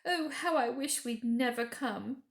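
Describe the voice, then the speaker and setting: A woman's voice: fairly high pitch, unhurried, vocal fry, roomy sound, voice pushed up. A woman voicing an anxious, earnest young girl in a children's storybook, with a pleading, dismayed manner. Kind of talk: children's storybook character reading.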